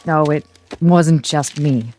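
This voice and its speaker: A woman's voice: sits low, takes it slowly, darkish tone, faint music behind. An actress playing an earnest, modest codebreaking assistant in an audio drama, a little hesitant in her delivery. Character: earnest, modest female codebreaking assistant bringing urgent findings.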